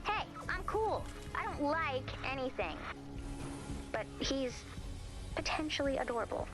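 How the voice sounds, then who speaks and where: A woman's voice: high-pitched, very animated, unhurried, over music, poor audio. A woman voicing an emphatic, dramatic young misfit heroine in a video game, sarcastic yet vulnerable. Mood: wry.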